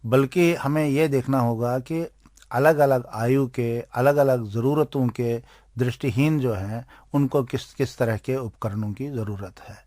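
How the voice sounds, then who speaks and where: Indian guy, medium pitch, explains stuff in careful halting chunks like an interviewed expert, no room echo. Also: dark tone, clean studio sound. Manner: deliberate, halting phrasing. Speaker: interviewed expert on aids for blind people.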